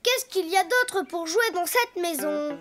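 A woman voicing a cheerful, curious little boy donkey in a cartoon, eager and lively. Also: very high voice, unhurried, music in the background.

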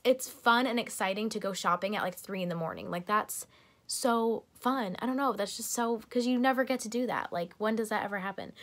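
A woman's voice: mid-range voice, a bit bright, no echo. A young woman vlogger chatting casually and giggling, swearing now and then, filmed on a phone in a car. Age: young adult. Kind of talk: casual vlog chat. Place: car interior. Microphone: phone camera held in car. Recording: noisy car cabin.

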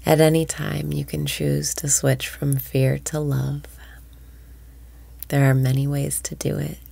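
A woman guides a breathing meditation in a low, gravelly voice that keeps slipping into soft whispers. She speaks slowly and warmly, close to a dry, deadened mic. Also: flat delivery, no room sound. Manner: slow soothing delivery, drops into whispers.

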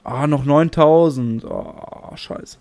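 A man giving let's play commentary on a flight game, excitable, swearing often and talking to himself. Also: a little high, slow pace, creaky, no room sound. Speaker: gaming channel host. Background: game music.

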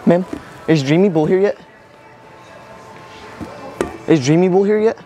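Young American man, slangy and higher-pitched. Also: fast talker, mellow, muffled recording, faint music behind. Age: young adult. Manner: slangy, profane. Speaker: prankster, video host. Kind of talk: casual public prank banter. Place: large indoor supermarket. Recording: noisy store ambience, camera microphone.

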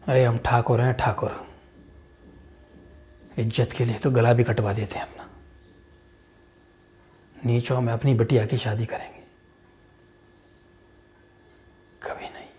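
A man's voice: level tone, unhurried, long pauses, natural voice. Man playing a proud, ruthless politician father. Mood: menacing. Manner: booming and jovial with party workers, dropping to a hushed, cold undertone with family. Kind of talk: dramatic audition monologue.

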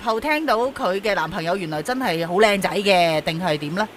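A woman with a Hong Kong Cantonese accent hosting a casual radio interview, asking questions in an inquisitive, expressive way. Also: medium pitch, fairly quick, a little hiss or hum. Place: radio studio. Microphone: close broadcast microphone, wearing face mask.

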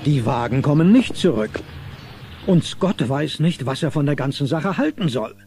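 A man's voice: on the high side, expressive, normal speed, a little mellow, faint music behind. A man narrating a Christmas audio drama as a scripted storyteller. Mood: storytelling. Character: wry male storyteller of a Christmas tale.

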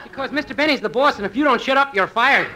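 A man's voice: very high voice, fast. An actor playing a naive, eager young male singer in a 1950s radio comedy. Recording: very noisy old radio, loud audience.

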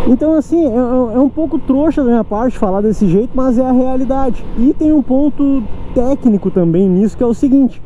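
A man's voice: very high voice, dark tone. A young man with a southern Brazilian accent, talking frankly and rambling as he rides a scooter through city traffic. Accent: southern Brazilian Portuguese. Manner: rambling, frank, self-deprecating. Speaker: motovlogger. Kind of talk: casual riding commentary. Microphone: helmet or action-camera mic. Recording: muffled with light wind and traffic noise.

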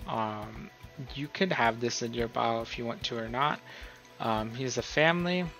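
Bright-voiced man giving a casual tutorial. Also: medium pitch, normal speed, faint music behind. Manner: informal, rambling explanation. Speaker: host of a role-play tutorial. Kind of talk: casual screen-recorded tutorial.

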